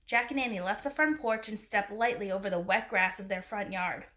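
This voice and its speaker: A woman's voice: medium pitch, a little fast, pushed and bright. A woman reading a children's adventure story aloud as its narrator. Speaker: storybook reader. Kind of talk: children's book read aloud.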